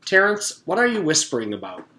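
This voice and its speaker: A man acts out a bossy, exasperated woman schoolteacher from a children's storybook. The voice is lifted well up in pitch, emphatic and commanding, in an echoey room. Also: huge pitch swings, brisk, pushed and bright, full character voice. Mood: stern.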